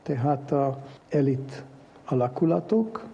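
A man playing an earnest foreign geneticist in a mock interview, speaking broken Hungarian with a foreign accent, haltingly mixing in English terms. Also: medium pitch, animated, gravelly, mellow. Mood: earnest, explaining. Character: earnest foreign cloning scientist from an island dictatorship, broken Hungarian. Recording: muffled lo-fi with faint background noise.

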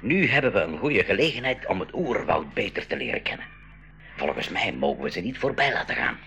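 Low, lively genial professor uncle. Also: male voice, a little fast, gravelly, full character voice. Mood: reasoning, genial. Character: genial older uncle, adventurous professor and inventor. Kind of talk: 1980s school radio play acting. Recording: noisy old radio drama recording.

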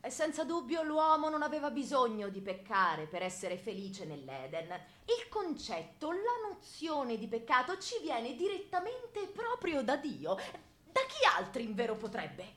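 A woman's voice: sits high, huge pitch swings, a little slow, a bit bright, heavily acted. A woman playing a stern, dogmatic senior priest in a theatrical radio drama, pompous and sermonizing. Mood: argumentative. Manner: pompous, sermonizing, rhetorical questions.

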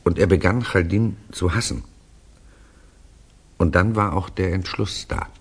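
Deep, husky, reflective storyteller. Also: male voice, unhurried. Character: reflective male storyteller recounting to a friend. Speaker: narrator. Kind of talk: conversational narration in 1960s German radio play.

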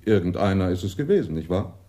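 An actor playing a melancholy male witness in a German radio crime drama, reflective and halting. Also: low voice, sing-song, mellow. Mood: wistful, musing. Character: male neighbour of a dead man, melancholy police witness.

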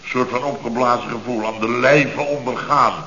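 Man acting a blustery dutch contractor boss, sharp forceful voice, old radio comedy, muffled sound. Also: mid-range voice, steady tone, lightly acted. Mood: bothered. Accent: Dutch from the Netherlands. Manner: forceful, halting, exasperated storytelling in short bursts. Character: blustering, self-pitying middle-aged male building contractor boss.

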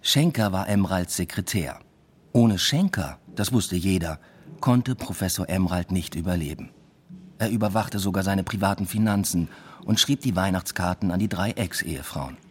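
A man's voice: low-pitched, moderate pace, close to an everyday voice. An actor playing a weary, ironic male lecturer who narrates his own story in a radio play with dry, reflective understatement. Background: music.

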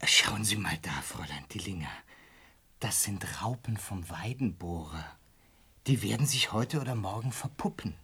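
A man's voice: on the low side, expressive, moderate pace, pushed and bright, husky, exaggerated voice. An actor playing an earnest, awkward male lodger in a German radio play, with a formal, hesitant, pedantic way of explaining. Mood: intent, explaining. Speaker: narrator and main character. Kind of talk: German radio play, dialogue and reflective narration.

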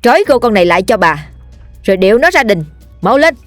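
A woman voicing a furious, jealous middle-aged village chief's wife who screams shrill insults. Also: sing-song, extremely slow, bright, forceful tone, dry recording, faint music behind, full character voice. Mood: commanding.

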